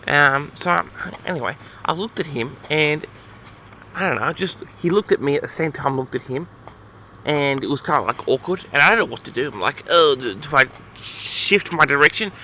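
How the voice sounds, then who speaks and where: A man's voice: on the high side, expressive, normal speed, bright, forceful tone, slight background noise, low-quality recording. A man telling a story casually as host of an audio log, rambling in an awkward, flustered, exasperated way.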